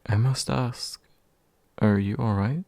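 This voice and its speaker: A man playing a courteous, gentle demon in a soft ASMR roleplay, politely formal and a little hesitant. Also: on the low side, fairly even intonation, takes it slowly, vocal fry, dry recording. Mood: concerned, tender. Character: courteous, gentle male demon offering comfort to his summoner. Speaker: ASMR voice actor.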